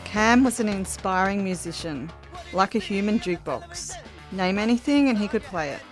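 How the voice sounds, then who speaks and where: A woman's voice: mid-range voice, lively intonation, moderate pace. An Australian woman reading scripted memorial tributes for a podcast. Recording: music behind the voice. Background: music.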